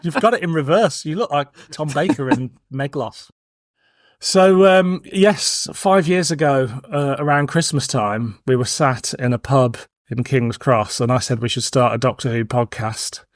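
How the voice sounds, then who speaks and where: A man's voice: medium pitch, measured pace, no echo, clean studio sound. A man in his forties hosting a podcast in casual banter, with a wry, playful, teasing manner. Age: 40s. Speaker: podcast host.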